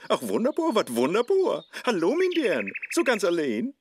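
An actor playing a hapless, lovestruck Faust in a comic rhymed radio play, with a Low German accent and theatrical asides. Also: male voice, wildly swooping pitch, moderate pace, a little hiss or hum. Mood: smitten, wooing. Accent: Low German, northern Germany. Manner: theatrical, exclaiming, muttering asides. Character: lovestruck, hapless modern Faust chasing a young woman.

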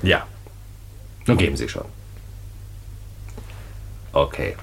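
An actor playing a firm, unhurried man of authority in a radio crime drama, terse and commanding. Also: a bass voice, expressive, gravelly, full character voice.

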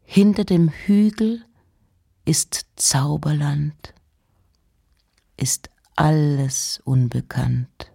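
A woman giving a measured, deliberate literary reading of poems and letters, with pauses. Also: low voice, steady tone, creaky, mellow, husky. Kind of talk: literary recitation.

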